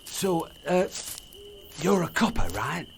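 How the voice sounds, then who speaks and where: A man's voice: on the high side, sing-song, slow pace, gravelly, soft background music, heavily acted. A man playing a plain-spoken, bitter working-class townsman in an audio drama, blunt and folksy. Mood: curious. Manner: blunt, folksy, says aye.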